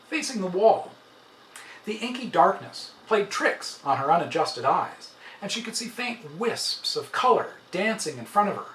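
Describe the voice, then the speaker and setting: Roomy, animated male story narrator. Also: high-pitched. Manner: expressive, theatrical storytelling. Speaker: author reading a short story aloud. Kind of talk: dramatic scripted narration. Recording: roomy, slightly distant, faint noise.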